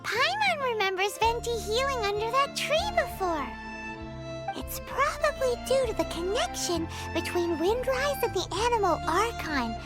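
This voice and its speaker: A woman voicing a small, excitable childlike fairy companion in an anime-style game, with a squeaky, chirpy delivery. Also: a little slow, exaggerated voice. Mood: earnest. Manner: speaks of self in third person, exclamatory. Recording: loud background music behind voice.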